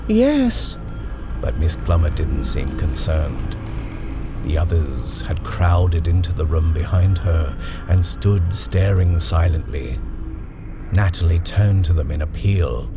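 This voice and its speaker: A man reading a horror story aloud in a measured, expressive narration. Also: very deep voice. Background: music.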